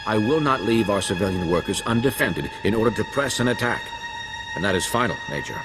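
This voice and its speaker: An actor playing a courteous, firm army general in a video game, formal and measured in delivery. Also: male voice, on the low side, lively intonation, long reverb tail, music in the background, lightly acted.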